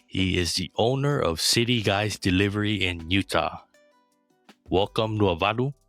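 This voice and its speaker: Deep-voiced podcast host. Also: male voice, normal speed, dry recording, soft background music. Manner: steady, reads prepared lines. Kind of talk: scripted intro then interview questions.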